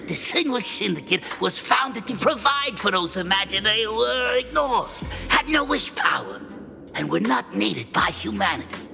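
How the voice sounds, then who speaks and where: A man's voice: high-pitched, expressive, moderate pace, creaky, sharp tone, in a large hall, faint music behind, full character voice. An actor playing a flamboyant, scheming male gang boss in a game, laughing and theatrical. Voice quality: theatrical, laughing, sing-song. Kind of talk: game voice acting.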